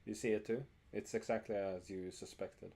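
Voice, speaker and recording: A man's voice: fairly low pitch, steady tone, normal speed, crowd noise. Man live-coding on stream. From Norway. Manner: thinking aloud, many ums, fades out at ends of phrases. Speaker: streamer host. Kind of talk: casual live-coding chat. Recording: faint music and keyboard typing behind.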